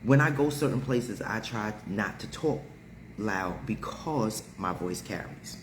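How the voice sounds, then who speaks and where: A man with a deep, heavy voice chats to his viewers in a loose storytelling style, laughing often as he talks. His voice sits low, and it rings out in a roomy space with an echo. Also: expressive, unhurried, background noise. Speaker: live stream host. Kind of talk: casual storytelling chat.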